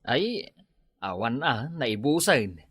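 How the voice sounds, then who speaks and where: Mocking, tight-fisted Ilocano neighbour, animated. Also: male voice, mid-range voice, takes it slowly, breathy edge, no room sound, studio quality, heavily acted. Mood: dismissive. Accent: Filipino, Ilocano. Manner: curt, mocking, grumbling. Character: stingy, dismissive neighbour scolding a lazy moocher. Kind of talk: Ilocano radio comedy drama acting.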